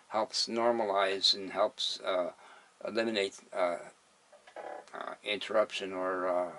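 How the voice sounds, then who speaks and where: A man's voice: on the low side, a bit bright. An older man giving an unscripted, hesitant demonstration as a hobbyist explaining his equipment in plain terms. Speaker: hobbyist radio restorer demonstrating a set. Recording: noisy home video with background hiss.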